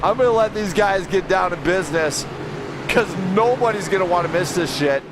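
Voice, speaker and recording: A man calling a live sim race play-by-play, excitable and shouting driver names. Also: sits high, normal speed. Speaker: sim racing commentator. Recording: racing game engine noise behind. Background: car engine roar from the game.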